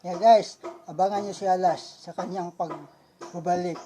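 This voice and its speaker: A woman's voice: lowish voice, fairly even intonation, measured pace, warm tone, slight background noise. A woman with a Filipino accent hosting a puppet show vlog, chatting casually to viewers. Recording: dull lo-fi audio.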